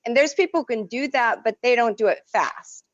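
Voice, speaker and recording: A woman whose first language is French, an artist telling stories in an effusive, expressive way as an interview guest. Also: on the high side, fairly quick, dry recording, muffled recording. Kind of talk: casual interview.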